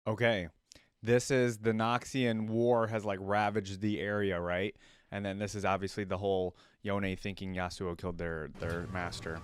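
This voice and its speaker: A man's voice: lowish voice, level tone, moderate pace, clean tone, no echo. A man hosting a reaction video, chatting informally and speaking straight to his viewers. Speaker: reaction video host and streamer. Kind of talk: casual reaction commentary.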